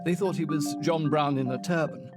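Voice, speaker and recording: A man's voice: fairly high pitch, steady tone, moderate pace. A man presenting a documentary in scripted narration. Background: music.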